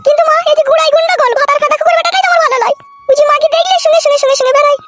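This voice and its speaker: A man's voice: extremely high pitch, fairly even intonation, a bit bright, faint music behind. A man voicing a foul-mouthed, jealous wife who scolds and quarrels in an amateur comedy cartoon. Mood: bitter. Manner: scolding, rapid-fire quarrelling.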